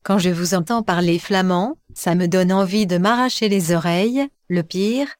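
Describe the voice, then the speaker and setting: A woman's voice: mid-range voice, unhurried, darkish tone, no echo, studio quality, close to an everyday voice. A synthetic woman's voice playing a cheeky, disembodied computer voice that talks in overly familiar slang and mocks the others. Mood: mocking. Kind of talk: comic interjections in a podcast.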